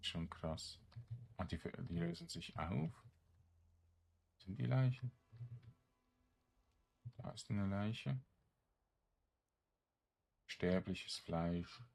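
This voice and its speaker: A man's voice: sits low, very slow, gravelly, long pauses, a little mellow, breathy edge. A man giving casual let's play commentary, muttering to himself in a hesitant way and trailing off. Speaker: gaming streamer narrating his own play.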